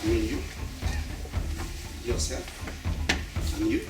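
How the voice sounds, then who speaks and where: Dramatic stage narrator in an echoey hall. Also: male voice, medium pitch, fairly even intonation, slow pace. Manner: dramatic, addresses audience directly. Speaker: narrator introducing a stage play. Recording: echoey hall from far off, music behind.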